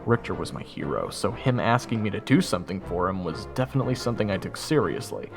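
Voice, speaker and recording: Young man, wry narration, lively pitch, faint music. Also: fast talker, vocal fry, long reverb tail. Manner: wry, self-deprecating. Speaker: narrator and lead. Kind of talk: first-person story narration.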